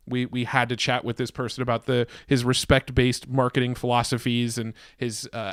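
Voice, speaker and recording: A man's voice: mid-range voice, clear, resonant tone, no room sound, studio quality. A man co-hosting a podcast, introducing a guest with enthusiasm and asking questions. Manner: enthusiastic, conversational. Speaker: podcast co-host.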